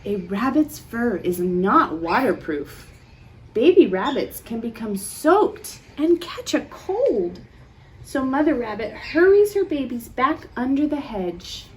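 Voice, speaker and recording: A woman's voice: a little high, a little hiss or hum. A woman reading a picture book aloud to young children, acting it up theatrically and putting questions to them. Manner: animated, theatrical gasps and pauses for young listeners. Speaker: children's storytime reader.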